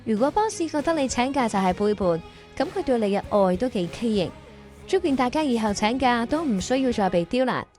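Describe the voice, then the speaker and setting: A woman narrates a comic radio drama as its host. Her voice is on the high side and swings widely, playful and exaggerated. Light music plays behind her. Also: animated, fairly quick, a little mellow.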